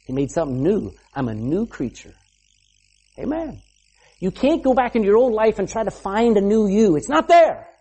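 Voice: mid-range voice, sing-song, normal speed, warm tone, breathy edge. A preacher giving an emphatic sermon, asking the listeners rhetorical questions and punctuating points with "amen".

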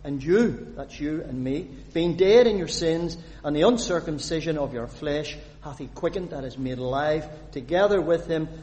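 A man from Northern Ireland preaching a sermon, putting questions to the congregation and reading scripture aloud in an animated way. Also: on the high side, normal speed, hardly any pauses. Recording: long hall reverb.

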